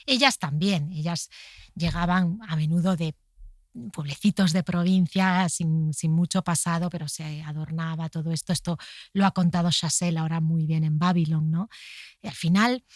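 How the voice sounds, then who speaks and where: Spanish woman with spain accent, lower voice, author chatting in an interview, really dry sound, checks in with little tag questions. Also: steady tone, normal speed, dry recording. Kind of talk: conversational storytelling in an interview.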